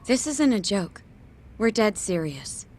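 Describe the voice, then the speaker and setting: A resolute, earnest woman from a video game drama. She speaks very slowly at a medium pitch, with faint music behind. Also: big pitch swings, a little mellow. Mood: serious. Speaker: voice actor in English game dub. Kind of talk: dubbed video game cutscene acting.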